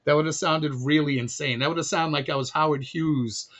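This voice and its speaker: A man's voice: a little high, fairly even intonation, a little fast, fairly bright tone. A man from Chicago chatting as a podcast guest, talking freely with many restarts and laughs. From Chicago, USA. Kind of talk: casual conversation. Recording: dull lo-fi audio.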